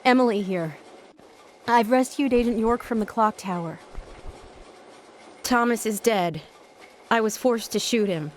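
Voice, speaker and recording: An actress with an American accent playing a proud, dutiful small-town deputy sheriff in a video game, earnest and emphatic. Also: female voice, on the high side, a little slow, pauses often, slight background noise, close to an everyday voice. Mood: businesslike. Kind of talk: dramatic game cutscene acting.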